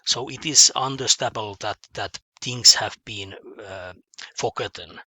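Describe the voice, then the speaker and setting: A man with a Finnish accent, a math teacher addressing his students in a halting way, with many fillers and repeated words. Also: on the low side, pushed and bright, breathy edge, no echo. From Finland. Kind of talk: spoken lecture to students.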